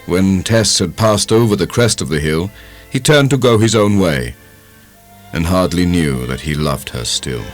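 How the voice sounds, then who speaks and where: A man with a British English accent giving a measured, dramatic reading of a literary novel as an audiobook narrator. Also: deep voice, soft background music. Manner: measured, expressive storytelling.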